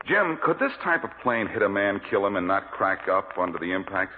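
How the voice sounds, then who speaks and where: An actor playing a shrewd, self-assured amateur detective with a wry manner, in 1940s radio drama style. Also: male voice, on the low side, big pitch swings, a little fast. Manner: wry, sardonic. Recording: echoey, hissy narrow-band old radio recording.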